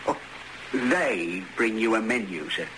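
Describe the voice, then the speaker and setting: An actor playing an obliging, fussy, slightly odd hotel night porter in a radio drama, speaking politely and hesitantly. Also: male voice, lowish voice, expressive, a little slow, a bit bright, slight background noise, low-quality recording. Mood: deferential, hesitant.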